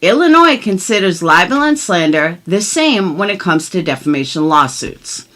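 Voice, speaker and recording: Lively, bright-voiced middle-aged woman vlogger. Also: on the low side, moderate pace. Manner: emphatic, blunt, swears freely. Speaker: commentary vlogger. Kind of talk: casual chat and mock-dramatic reading of legal documents.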